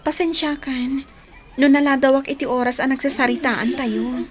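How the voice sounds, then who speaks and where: A woman's voice: high voice, steady tone, moderate pace, lightly acted. A woman acting a grieving young woman in a Filipino radio drama, hesitant and sighing. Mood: shy, reserved. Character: Jasmine, young woman still grieving lost love.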